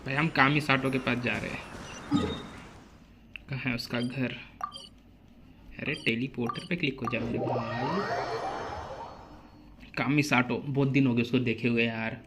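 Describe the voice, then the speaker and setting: A man's voice: mid-range voice, steady tone, rapid-fire, pauses often, background noise. A man chatting casually and reacting as he livestreams a video game. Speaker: game streamer. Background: game music.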